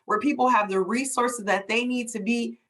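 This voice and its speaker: A woman with a somewhat high voice hosts a conversation and talks with real passion. She builds her points through vivid everyday scenarios and rhetorical questions, and she is emphatic throughout. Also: fairly even intonation, moderate pace, fairly bright tone. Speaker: host, DEI consultant.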